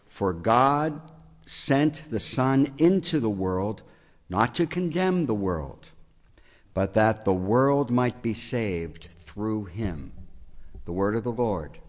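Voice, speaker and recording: A man reading a Gospel passage aloud in a church service in a measured, formal way. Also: lowish voice, animated. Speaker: scripture reader. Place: church sanctuary. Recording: church hall reverb, from far off.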